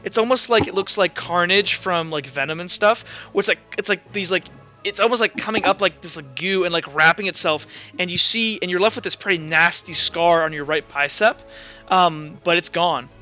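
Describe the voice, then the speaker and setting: A man's voice: high voice, normal speed, lo-fi sound. A man acting as game master, casually thinking aloud as he narrates a tabletop game and explains the rules. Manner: informal, conversational, thinking aloud. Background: soft music.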